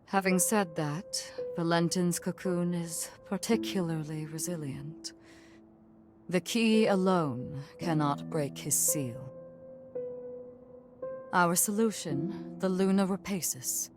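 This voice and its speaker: An actress playing a solemn, commanding mother in a video game, speaking in a grave, formal and measured way to guide heroes on a final mission. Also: lowish voice, over music. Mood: solemn, resolute. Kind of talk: video game cutscene acting.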